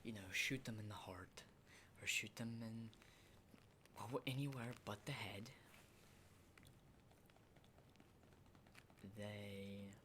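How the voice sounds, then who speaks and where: A man playing a battle-hardened, rattled survivor of a zombie apocalypse in an ASMR roleplay, rambling and swearing in hushed, halting speech. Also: fairly low pitch, a little hiss or hum. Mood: uneasy, explanatory.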